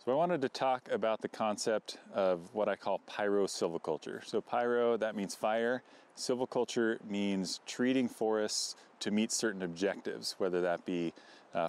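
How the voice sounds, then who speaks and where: A middle-aged American man, a researcher explaining concepts to camera outdoors. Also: fairly low pitch. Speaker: forest researcher. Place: outdoors in a forest.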